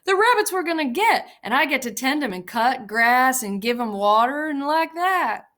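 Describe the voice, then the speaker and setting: A woman's voice: sits high, sing-song, moderate pace, fairly bright tone, voice pushed up. Lady reading as a big simple ranch guy, childlike, voice pushed way up, keeps pleading. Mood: eager. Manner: eager, pleading and repetitive.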